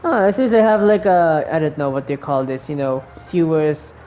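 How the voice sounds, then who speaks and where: A woman's voice: deep voice, normal speed. A woman giving casual, offhand, sweary commentary as she plays a video game. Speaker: game streamer. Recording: dull lo-fi mic, faint noise.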